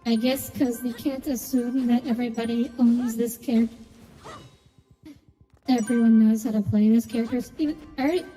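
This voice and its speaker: A woman with a very soft, dark tone and a slightly high pitch comments casually on a game. She is blunt and opinionated, and her voice carries a long reverb over music. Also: steady tone, moderate pace. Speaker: game streamer.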